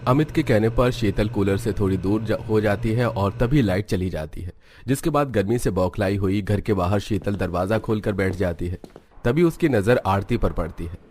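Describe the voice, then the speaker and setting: A man's voice: lowish voice, normal speed, a little mellow, a little hiss or hum. A man narrating a scripted family story as a storyteller.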